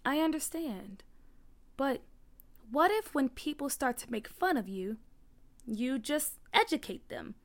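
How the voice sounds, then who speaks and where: A woman's voice: high voice, sing-song, exaggerated voice. Woman playing a firm, nurturing mother. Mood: reassuring. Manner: firm, measured, reassuring.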